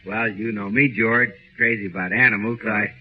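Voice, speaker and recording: A man's voice: lowish voice, fairly even intonation, brisk, a little hiss or hum, close to an everyday voice. A man playing a chummy, girl-crazy bachelor friend in a 1940s radio comedy. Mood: breezy, chummy. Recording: muffled old radio recording.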